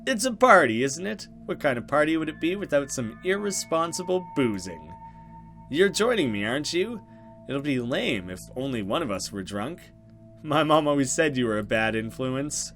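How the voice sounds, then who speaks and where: A man's voice: a little high, big pitch swings, normal speed, a bit bright, soft background music. A man voicing a cheeky, blunt young woman, a chatty friend in a visual novel. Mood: teasing. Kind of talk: visual novel dialogue read aloud.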